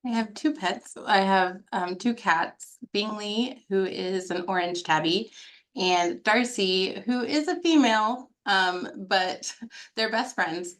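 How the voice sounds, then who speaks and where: A woman's voice: mid-range voice, fairly bright tone. A woman from Texas chatting casually as a panelist on a video call, informal and trailing off at phrase ends. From Texas, United States. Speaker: webinar panelist. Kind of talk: casual chat.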